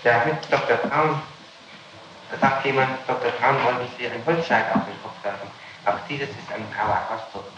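A man questioning formally as an interrogator and reading documents aloud in a halting, stumbling way, on an old archival tape. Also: mid-range voice, fairly even intonation, roomy sound, dull audio, background noise. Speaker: police or judicial interrogator.

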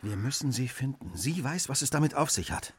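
An actor playing a keen, determined Victorian gentleman detective in a radio play, with precise, polite diction. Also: medium pitch, lively intonation, a little fast, natural voice. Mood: thoughtful, urgent.

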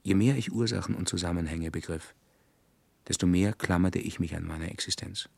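A man acting a disillusioned slave worker who narrates in a radio drama, gravelly and husky, grave and deliberate. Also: deep voice, darkish tone, no room sound, full character voice. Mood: desperate, resolute. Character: disillusioned male slave worker narrating his awakening in science fiction.

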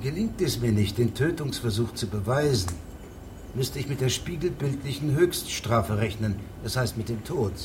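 An actor playing a shrewd federal judge in a radio drama, formal, measured and deadpan, with pedantic precision. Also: male voice, mid-range voice, noisy background, natural voice.

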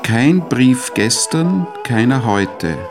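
A man announces a radio play from a script. He speaks slowly at a middling pitch. Music plays clearly behind him. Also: big pitch swings.

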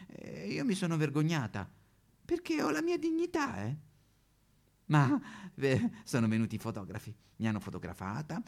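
A man's voice: mid-range voice, darkish tone. A man playing an aggrieved, neglected female Madonna statue in a satirical stage monologue, plaintive and melodramatic with a Roman accent. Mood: aggrieved, self-pitying. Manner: melodramatic, plaintive, sudden swoops into wailing. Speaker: comic actor.

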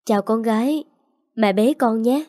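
A woman voicing a gentle young working mother who speaks politely and tenderly in an audio story. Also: on the high side, measured pace, no room sound, clean studio sound, lightly acted.

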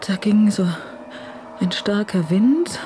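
An actress playing an emotional, distrustful, wounded woman in a German radio drama, speaking intensely and haltingly. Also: medium pitch, expressive, background noise. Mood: shaken, halting.

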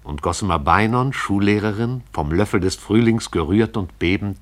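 A man narrates a radio play in a measured, poetic way. His voice is very deep.

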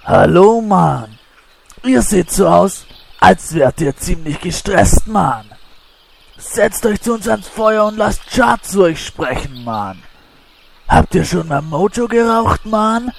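A laid-back troll drawls stoned hippie slang in a fantasy radio parody. The voice is pitched high and heavily creaky, and it takes its time. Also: male voice, animated, takes it slowly, full character voice. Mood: stoned, mellow. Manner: drawling hippie slang, ending phrases on "Mann". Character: laid-back stoned male troll smoking a water pipe. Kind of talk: parody fantasy audio drama.

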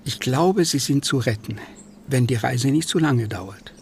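An actor in a German radio play playing a gentle, sorrowful man in a subdued, matter-of-fact way. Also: medium pitch, lively intonation, unhurried, vocal fry, slight background noise. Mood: quiet sorrow. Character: sorrowful, gentle man who tends stray animals.

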